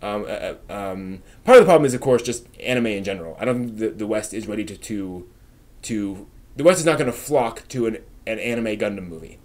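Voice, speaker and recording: A man hosting a casual live stream, chatting and thinking aloud in a halting way, often trailing off as he answers viewers. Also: fairly low pitch, animated, darkish tone.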